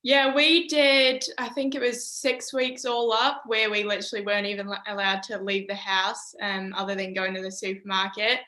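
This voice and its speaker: Young New woman, echoey call. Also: mid-range voice. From New Zealand. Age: young adult. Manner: relaxed, many "um" pauses. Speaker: university golfer, guest. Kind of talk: casual interview answers. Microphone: video call.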